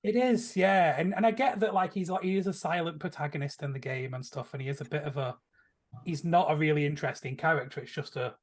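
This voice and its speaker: A man's voice: fairly high pitch, fast. A man talking casually and giving his opinions as a panellist on a film podcast.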